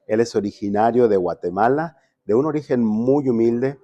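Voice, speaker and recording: A man's voice: medium pitch, normal speed, no echo, dull audio. A man from Mexico hosting a podcast interview, friendly and full of questions, often restarting his words. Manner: friendly, asks many questions, repeats words when restarting. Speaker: podcast host. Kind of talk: casual interview.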